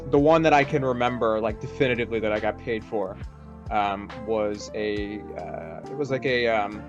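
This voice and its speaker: A man's voice: on the low side, moderate pace, dull audio, music in the background. A man giving a casual, rambling interview as a voice actor, often tagging phrases with a checking question.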